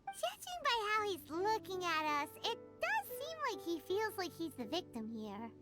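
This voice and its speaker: A woman voicing a tiny, bubbly fairy companion girl in a video game, talking in quick exclamations over game music. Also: extremely high pitch, wildly swooping pitch, full character voice. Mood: perky.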